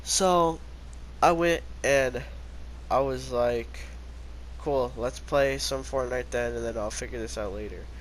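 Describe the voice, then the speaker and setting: A man's voice: mid-range voice, takes it slowly, slight background noise, low-quality recording. A teenage boy with a stuffy, congested voice from a cold, casually telling a rambling storytime and quoting himself with lots of "I was like.". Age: teens. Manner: rambling, many "I was like" quotes. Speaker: vlogger.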